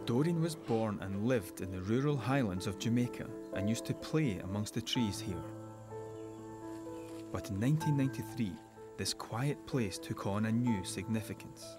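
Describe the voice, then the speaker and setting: A man's voice: fairly low pitch, lively intonation, normal speed. A man reading scripted narration as the reporter in a television documentary. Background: loud music.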